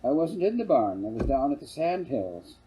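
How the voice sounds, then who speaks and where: An earnest young farm boy from an audiobook, voiced by an older man. He answers matter-of-factly, pitched up yet husky. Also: very animated, moderate pace, warm tone, poor audio.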